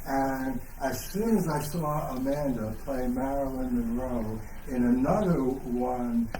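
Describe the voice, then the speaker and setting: A man's voice: medium pitch, takes it slowly, mellow. A man speaking as an author and host, giving an informal, enthusiastic and digressive introduction to a live audience. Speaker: author hosting a live book reading. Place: indoors in a bookstore. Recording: distant mic, noisy echoey room.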